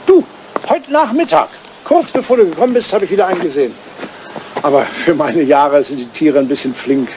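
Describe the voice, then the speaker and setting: A man's voice: on the high side, lively intonation, fairly quick, noisy background, natural voice. An older man playing an ageing, cynical English lord in a German radio play, blustering and roguish, often hesitating. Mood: wistful. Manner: blustering, hesitant, tagging questions with "ne?".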